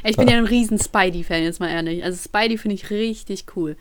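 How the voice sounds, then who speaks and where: A woman's voice: mid-range voice, fast talker. A young woman from Ukraine chatting casually as a podcast co-host, with playful, slangy banter. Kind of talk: casual chat.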